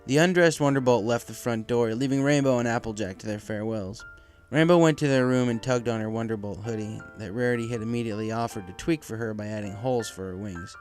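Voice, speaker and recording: A man's voice: medium pitch, fast talker, few pauses, a little mellow. A man reading scripted fan fiction as its narrator, with music behind his voice.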